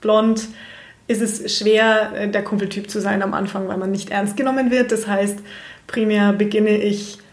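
A woman's voice: mid-range voice, level tone, normal speed. A young woman from Bavaria, a school teacher, answering interview questions in a frank, chatty way and laughing easily. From Bavaria, Germany. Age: young adult. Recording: roomy echo.

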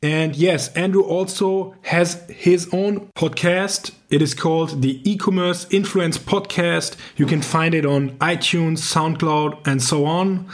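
A man's voice: fairly high pitch, steady tone. A man hosting a podcast interview in English with a German accent, speaking earnestly with frequent fillers. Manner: earnest, halting, many fillers. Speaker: podcast host.

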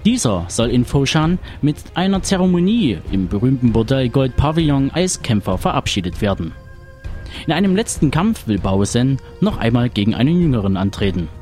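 A man's voice: medium pitch, big pitch swings, moderate pace, a little mellow, faint music behind. A man reading a scripted film synopsis as a segment narrator.

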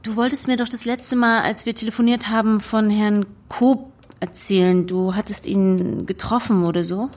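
A woman's voice: medium pitch, steady tone, normal speed, a little mellow, natural voice. An actress playing a guarded young activist woman in naturalistic audio drama dialogue. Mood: tentative. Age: young adult. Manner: reassuring, evasive.

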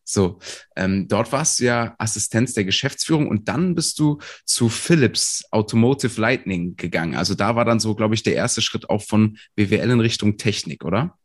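Lower-voiced male interviewer, encouraging. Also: lively intonation, normal speed. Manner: affirming, encouraging questions. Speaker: interviewer, host. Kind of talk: conversational interview.

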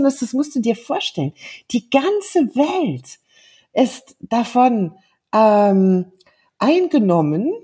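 A woman in her fifties, a native German speaker, talking earnestly and thoughtfully in a casual conversation, often pausing with fillers. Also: a little high, dark tone, slightly rough. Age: 50s. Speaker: guest.

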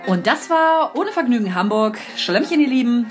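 A woman's voice: a little high, animated, moderate pace, music in the background. A woman, co-hosting a podcast in casual chat with sardonic, exaggerated exasperation. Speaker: podcast co-host.

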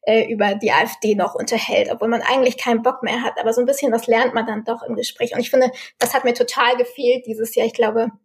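A woman's voice: on the high side, steady tone, quick pace, hardly any pauses. A woman from eastern Germany, a podcast guest, reflecting casually and thoughtfully as she searches for words. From Thuringia, eastern Germany.

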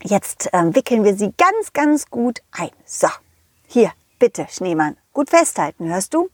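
A woman's voice: mid-range voice, big pitch swings, measured pace, no echo, studio quality, voice pushed down. A woman voicing a confident, loyal dog in a children's audio play, encouraging and reassuring, always offering a solution. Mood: caring. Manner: encouraging, reassuring problem-solver.

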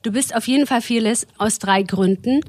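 A woman hosting a podcast, introducing her guest and interviewing him with playful, quick questions in a soundproof studio. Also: fairly high pitch, darkish tone, no echo. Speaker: interviewer, magazine reporter.

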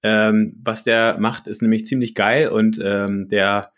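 A man from Berlin interviewing a musician in a casual, lively and curious chat. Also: lowish voice, slow.

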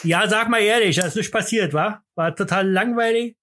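A man's voice: high-pitched, normal speed, sharp tone. A man co-hosting a podcast in casual banter, rambling and joking while drinking, a bit tipsy. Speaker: podcast co-host.

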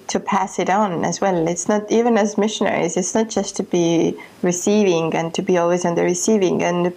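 A woman's voice: fairly low pitch, darkish tone. A middle-aged woman from Estonia, a missionary guest speaking earnestly and thoughtfully in a podcast interview, often restarting her sentences as she searches for words.

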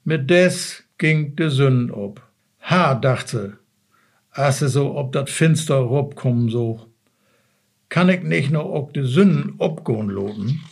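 A man with a Low German accent, a native Low German speaker, reading a fairy tale aloud slowly and haltingly. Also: animated, creaky, slightly rough. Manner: slow, halting and stumbling. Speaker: guest reader.

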